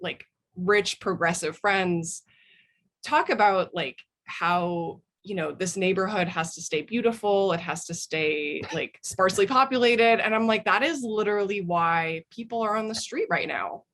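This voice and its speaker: A woman's voice: mid-range voice, unhurried, a bit bright. An American woman speaking as an interview guest, giving thoughtful answers in an earnest, opinionated way. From United States. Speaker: guest, author.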